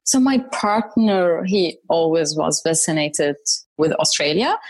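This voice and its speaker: Enthusiastic woman, low-pitched and dry. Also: lively intonation, measured pace, no room sound. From Syria. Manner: enthusiastic, repeats words for emphasis. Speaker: guest. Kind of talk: interview conversation.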